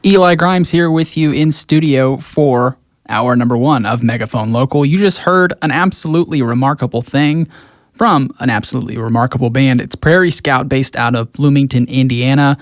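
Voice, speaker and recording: A man's voice: medium pitch, normal speed, no room sound. An American man presenting a local music show on the radio, speaking from a studio.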